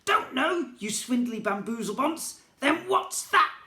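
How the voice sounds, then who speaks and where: A teacher reading aloud and voicing a spoiled, tiny male goblin prince with a shrill, screeching voice. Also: expressive, normal speed, vocal fry, bright, forceful tone, heavily acted. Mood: shrieking tantrum. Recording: roomy echo.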